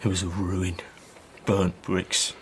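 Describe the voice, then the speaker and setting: Blunt, practical soldier, noisy backdrop. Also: male voice, low-pitched, completely flat delivery, slow. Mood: shaken, bleak. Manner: plain-spoken, terse, bossy. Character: brisk, blunt soldier, shaken by war but practical. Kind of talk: naturalistic period radio drama acting.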